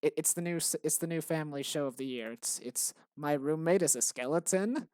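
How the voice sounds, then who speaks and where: A man making a game who rambles in casual commentary while he works, in a tired, monotonous, deadpan voice. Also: brisk, clear, resonant tone, no echo, studio quality. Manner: tired, low-energy. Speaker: game developer vlogger.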